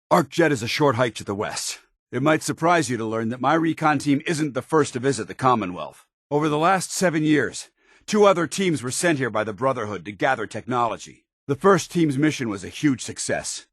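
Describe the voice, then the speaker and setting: An actor playing a stern, loyal military officer in a video game, speaking with formal, earnest, clipped diction. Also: male voice, mid-range voice, animated, fairly quick, fairly bright tone, slightly rough, dry recording, studio quality. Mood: grave, briefing. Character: stern, loyal, dutiful male military officer in power armor. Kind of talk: video game companion dialogue.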